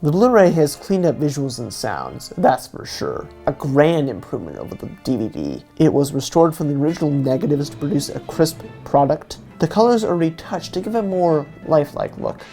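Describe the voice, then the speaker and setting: Creaky, animated man reviewing. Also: fairly high pitch, normal speed, few pauses. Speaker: video reviewer. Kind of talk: scripted review narration. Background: faint music.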